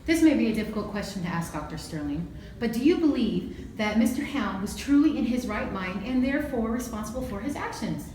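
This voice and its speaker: A woman's voice: a little high, lively intonation, fairly quick, a little mellow, far from the mic, close to an everyday voice. Actress as woman prosecutor, formal, probing, amateur drama, heavy room echo, faint background noise. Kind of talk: amateur courtroom drama dialogue.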